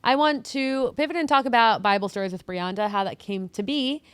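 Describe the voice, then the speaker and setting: A woman co-hosting a podcast in casual chat, chatty with many fillers, often trailing off softer, on a studio boom mic. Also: a little fast, smooth, no echo. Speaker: podcast co-host. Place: podcast studio with purple lighting.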